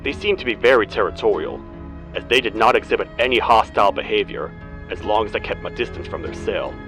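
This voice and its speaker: Casting: weary game toad lawman, low-voiced, grave and formal, music underneath. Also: lively intonation, brisk, a bit bright, poor audio. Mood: solemn, matter-of-fact. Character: stern, weary male cartoon toad sheriff. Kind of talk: video game character acting, log narration.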